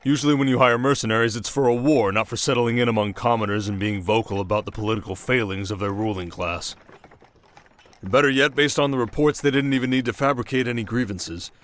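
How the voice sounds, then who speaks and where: A man playing a shrewd, confident king in an ASMR roleplay drama, speaking in a measured, wry and protective way. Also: mid-range voice, lightly acted. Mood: wry, amused.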